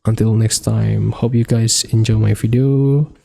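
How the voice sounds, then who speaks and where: Slow, gravelly man. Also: on the low side, fairly even intonation, mellow. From Indonesia. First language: Indonesian. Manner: halting, hesitant non-native phrasing. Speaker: coding tutorial host. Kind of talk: screen-recorded coding walkthrough.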